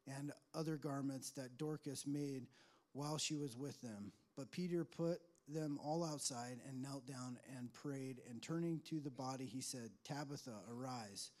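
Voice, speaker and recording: A man with a fairly high voice reads scripture aloud in a church service. He is addressing a congregation, delivering the passage as a public reading. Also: darkish tone.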